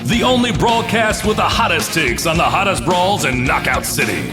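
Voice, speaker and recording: Excitable pirate radio host, animated cartoon patter over light music. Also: male voice, fairly high pitch, normal speed. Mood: hyped. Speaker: broadcast host. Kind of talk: hyped radio show patter.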